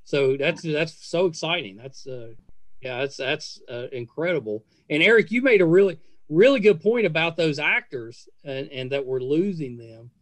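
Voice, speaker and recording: A middle-aged man hosting a casual podcast interview, speaking in a gushing, effusive way with halting phrasing. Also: fairly high pitch, animated, no echo. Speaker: podcast host interviewing guests.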